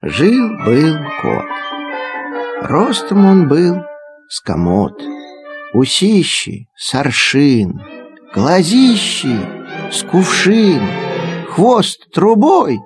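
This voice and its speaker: A man reciting children's verse as a theatrical storyteller, expressive and dramatic. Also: on the high side, very slow, long pauses. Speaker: storyteller, performer. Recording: digitized cassette audio play. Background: music.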